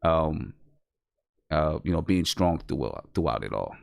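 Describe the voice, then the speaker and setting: A man with a very low, gravelly voice hosts an interview, speaking haltingly with many fillers. The sound is dry and close. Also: lively intonation, no room sound. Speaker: radio show host. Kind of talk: casual interview chat.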